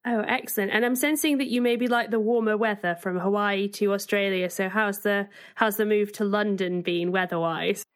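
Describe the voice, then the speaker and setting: A woman's voice: mid-range voice, fairly even intonation, normal speed, hardly any pauses, clear, resonant tone. A woman podcast host putting friendly, prepared interview questions to a guest.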